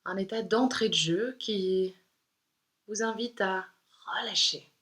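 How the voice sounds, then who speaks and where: A woman yoga instructor leading a guided meditation in a calm, soothing, unhurried way with pauses. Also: mid-range voice.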